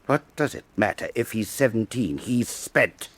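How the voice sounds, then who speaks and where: A man playing a suave, cynical Venetian official who teases and commands a painter, with a husky voice. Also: fairly low pitch, animated, moderate pace, dry recording. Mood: silky menace. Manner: urbane, teasing, imperious. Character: suave cynical older male art patron, Venetian official. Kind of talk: radio drama acting.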